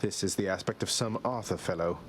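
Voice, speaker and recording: A man's voice: sits low, a little fast, slight background noise, natural voice. A man playing a young underworld prince in a video game, earnest, courteous and understated. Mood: dry, polite. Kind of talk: video game character dialogue.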